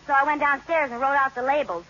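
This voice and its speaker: An actress playing a teasing, sentimental American housewife in an old radio sitcom, wry and emphatic. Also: female voice, high voice, fast, a bit bright, lo-fi sound. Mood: sheepish. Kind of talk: 1940s-50s radio sitcom acting. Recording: noisy, band-limited old radio recording.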